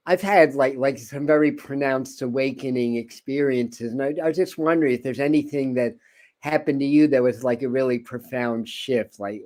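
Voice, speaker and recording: A man's voice: mid-range voice, a little mellow. A man hosting an interview channel, talking casually in a rambling way with many stammered repeats and chuckles. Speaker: host.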